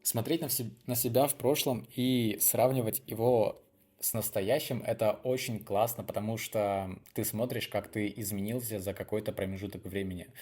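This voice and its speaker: A man's voice: lowish voice, fairly even intonation, normal speed, mellow. A young man telling personal stories to viewers in a casual, unscripted way, chatty and rambling as he thinks aloud. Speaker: video blogger hosting his channel.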